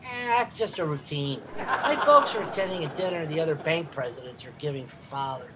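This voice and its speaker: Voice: mid-range voice, lively intonation, fairly quick, very noisy, natural voice. An actor playing a cunning young boy who peddles with earnest, wheedling patter in a radio comedy. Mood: cheeky.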